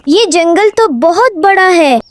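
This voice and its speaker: Timid young child for a cartoon adventure, played by a woman: tiny high voice, unhurried, dry sound. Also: lively intonation, no echo, heavily acted. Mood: curious. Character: timid, wide-eyed child on a jungle adventure. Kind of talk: children's cartoon story acting.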